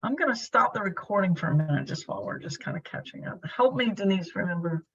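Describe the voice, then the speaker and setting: A middle-aged woman hosts an informal video call in a chatty, apologetic way. Her mid-pitched voice has a husky edge, and the sound is dull and lo-fi, as from a computer in a home room. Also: animated, quick pace. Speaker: club meeting host, filling in for the president. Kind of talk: casual pre-meeting chat on a video call. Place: bedroom used as a home office.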